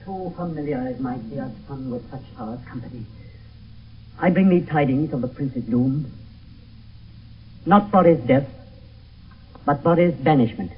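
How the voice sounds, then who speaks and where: A fatherly friar in a radio Shakespeare tragedy, grave and measured in verse. His husky voice sounds away from the mic on an old broadcast. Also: mid-range voice, expressive, distant mic, lo-fi sound. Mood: solemn. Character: grave fatherly friar bearing sad tidings. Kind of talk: 1970s radio Shakespeare acting.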